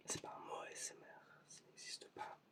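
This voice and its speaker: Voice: normal speed, recorded from a distance. An ASMR creator whispering through a playful eye-test roleplay, asking patient, mock-puzzled questions. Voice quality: whispered, unvoiced.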